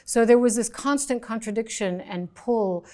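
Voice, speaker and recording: A woman's voice: on the high side, moderate pace, dark tone. A middle-aged woman journalist, a guest in a studio interview, talking thoughtfully and gesturing as she speaks into a lapel mic, with some restarts. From United States, raised in France. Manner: articulate, thoughtful, with frequent restarts and fillers; gestures with her hands while talking. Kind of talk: interview conversation. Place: small studio set, indoors.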